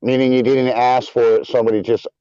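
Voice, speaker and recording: A man's voice: medium pitch, quick pace, smooth, dry recording. Dry-humored man on a dull, lo-fi line. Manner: deadpan clarifying questions, puns. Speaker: panel participant. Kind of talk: joking online voice-chat banter. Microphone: online voice chat. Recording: dull lo-fi voice chat.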